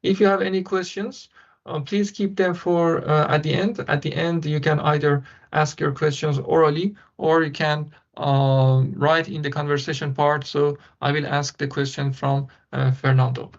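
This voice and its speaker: A man hosting a webinar, introducing events and speakers haltingly with many filler sounds over a video call. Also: on the high side, level tone. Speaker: webinar host. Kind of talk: hesitant event moderation. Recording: dull lo-fi web call audio.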